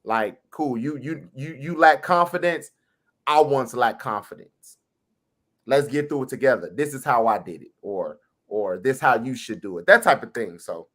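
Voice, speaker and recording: A man's voice: mid-range voice, a bit bright. A man who plays music at church, speaking earnestly on a panel and acting out encouraging quotes. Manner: earnest, quotes others in his telling, quick bursts. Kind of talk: casual panel chat.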